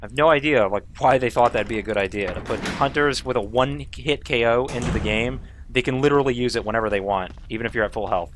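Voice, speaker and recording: A man's voice: mid-range voice, quick pace, few pauses, a little hiss or hum. A man casually commenting on his own speedrun and chatting with viewers, swearing now and then when frustrated. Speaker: speedrun streamer.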